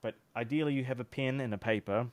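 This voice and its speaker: Man, New Zealand accent, young adult, casual vlog advice, smooth clear voice. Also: medium pitch, fast talker, darkish tone, no room sound. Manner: chatty, loose, lots of fillers and restarts. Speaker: online business coach.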